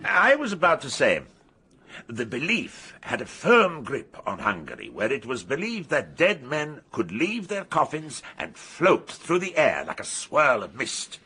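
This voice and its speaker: Husky, pompous professor. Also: male voice, mid-range voice, huge pitch swings, pushed and bright, exaggerated voice. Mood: commanding. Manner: pompous, deliberate, holding forth. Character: pompous, authoritative male professor of arcane lore. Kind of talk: radio mystery drama acting.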